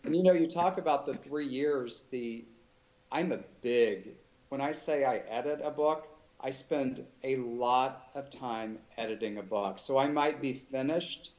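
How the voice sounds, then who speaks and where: A man being interviewed as an author, talking in a thoughtful, halting way with many restarts. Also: mid-range voice, a little mellow. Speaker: author guest. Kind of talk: casual interview chat.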